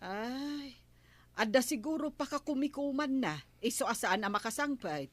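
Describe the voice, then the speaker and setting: A suspicious, prodding captain's wife in an Ilocano radio comedy. Her voice is fairly high with an Ilocano accent, questioning, recorded close and dry. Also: expressive, normal speed, dry recording. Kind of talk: Filipino radio comedy drama acting.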